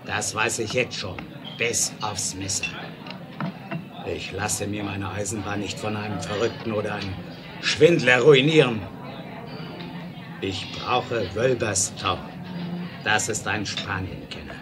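An actor playing a hot-tempered, bullying railroad boss in a 1970s German audio drama, speaking in a commanding, emphatic way. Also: male voice, on the low side, measured pace, long pauses, loud music behind the voice, natural voice. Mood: angry, defiant. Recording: noisy 1970s radio play record.

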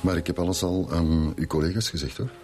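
Actor as a very deep-voiced, hesitant Flemish schoolteacher. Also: male voice, steady tone, darkish tone, faint music behind, lightly acted. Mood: uneasy, hesitant. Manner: hesitant, halting. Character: shaken, hesitant male schoolteacher and father, middle-aged. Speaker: actor playing a witness. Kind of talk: radio drama acting.

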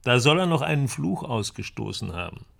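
A man's voice: medium pitch, big pitch swings, normal speed, gravelly, fairly bright tone, full character voice. A man acting in a radio drama as a bookish, wry friend in 1930s Germany, musing with dry amusement. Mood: wry, reflective.

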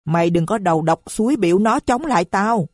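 A woman voicing a shrill, boastful, foul-mouthed middle-aged mother who screeches her abuse. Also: expressive, a little fast, dry recording, clean studio sound, natural voice. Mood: scolding.

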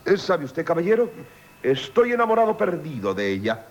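An actor playing a vain, effusive marquis in love in Castilian Spanish radio theatre. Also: male voice, on the high side, huge pitch swings, normal speed, dull audio. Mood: boastful, lovestruck. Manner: pompous, effusive, exclamatory. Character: boastful, gushing, jealous, vain marquis in love.

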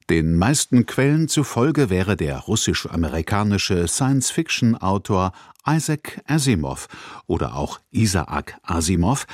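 A man presenting on radio, reading a scripted introduction in a measured, articulate way. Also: fairly low pitch, darkish tone, no room sound, clean studio sound. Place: dry broadcast studio.

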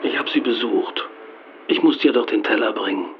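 A man's voice: mid-range voice, normal speed, slight background noise, natural voice. An actor as a wry man recounting, slightly muffled. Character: man recounting a colleague's funeral, wry and observant. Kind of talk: radio drama acting, narrating a recent event.

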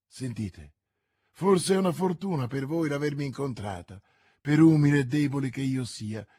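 A man's voice: mid-range voice, lively intonation, moderate pace, dark tone, breathy edge, dry recording, studio quality. A man voicing a young, eager female convent novice who gushes with trusting enthusiasm in an audiobook. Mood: earnest. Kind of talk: audiobook character reading.

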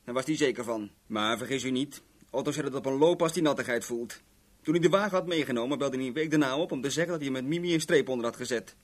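An actor playing a cocky, talkative young garage owner in a Dutch radio play, rambling and self-assured. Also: male voice, mid-range voice, fast talker, close to an everyday voice.

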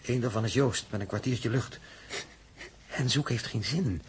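A man's voice: mid-range voice, moderate pace, lightly acted. An actor playing a sombre, resigned spaceship crewman in a 1960s radio drama, speaking in terse, bleak phrases. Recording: slightly noisy 1960s radio recording.

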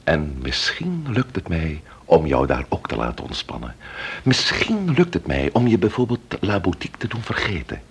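An actor playing a composed male investigator in a radio drama, authoritative yet tender, with a husky voice. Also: low voice, wildly swooping pitch, moderate pace, full character voice. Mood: tender, coaxing.

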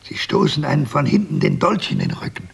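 A man playing a skeptical, simple-minded, grumbling old man with a gravelly, husky voice in a German radio drama. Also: medium pitch, huge pitch swings, fairly quick, full character voice. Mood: grumbling, wary.

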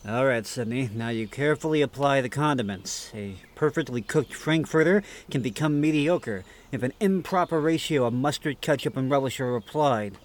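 A man's voice: mid-range voice, moderate pace, hardly any pauses, close to an everyday voice. A man playing a fussy, self-serious and encouraging grilling mentor in a comic radio skit. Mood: exacting. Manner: exacting, encouraging.

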